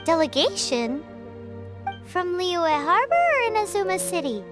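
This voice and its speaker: A tiny, excitable fairy companion from a fantasy game. The voice is extremely high and childlike, swinging lively with curiosity. Music plays behind it. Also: female voice, unhurried, heavily acted. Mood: chirpy, curious. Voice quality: squeaky, childlike. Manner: exaggerated, excitable. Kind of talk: video game voice acting.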